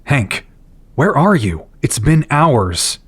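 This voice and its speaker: An actor playing a tense, worried young team leader in an audio drama. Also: male voice, mid-range voice, sing-song, takes it slowly. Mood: anxious.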